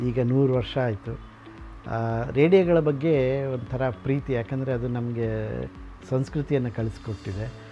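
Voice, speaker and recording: A man's voice: mid-range voice, unhurried, dark tone. Middle aged guy w explaining stuff like a tour guide, some english mixed in, muffled recording, music in the background. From Karnataka, India. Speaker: radio collector and museum founder. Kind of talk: informal guided tour talk. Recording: dull lo-fi sound, music behind.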